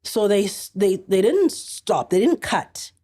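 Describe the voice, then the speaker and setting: A middle-aged South African woman telling a story as a podcast guest, earnest and halting, often restarting her sentences. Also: medium pitch, sing-song, warm tone. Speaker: actress guest.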